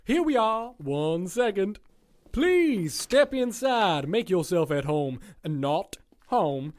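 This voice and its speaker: A sinister warden of a prison circus, played by an actor, speaking at a middling pitch with a theatrical drawl. The delivery is glib and folksy, full of smug mock politeness, in the style of a scripted audio drama. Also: sing-song, slow, darkish tone. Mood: chatty, teasing.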